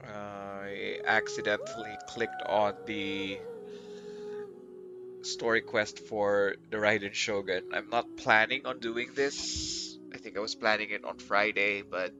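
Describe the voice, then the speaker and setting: A man chatting casually as he livestreams a video game, rambling and often rephrasing himself. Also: lowish voice. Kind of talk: casual live stream chat. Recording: muffled lo-fi mic, faint music behind.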